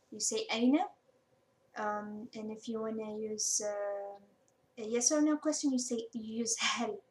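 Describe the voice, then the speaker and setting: Echoey, higher-voiced woman teacher, native Arabic speaker. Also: darkish tone. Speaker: language teacher. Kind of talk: casual conversational teaching. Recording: echoey room, distant mic, faint noise.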